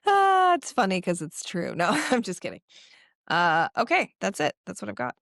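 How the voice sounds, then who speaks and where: A woman's voice: mid-range voice, big pitch swings, moderate pace, gravelly, a bit bright, no echo, studio quality. A woman of thirty-six chatting casually as a podcast co-host, emphatic and rambling, with slangy, sweary phrasing. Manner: emphatic, profane, philosophical musing in casual slang.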